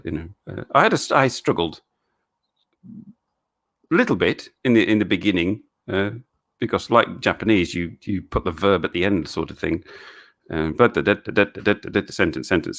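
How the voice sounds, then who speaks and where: A middle-aged Englishman hosting a casual live stream, answering viewer questions in a halting way with many restarts and frequent chuckles. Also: low voice, fairly bright tone. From England.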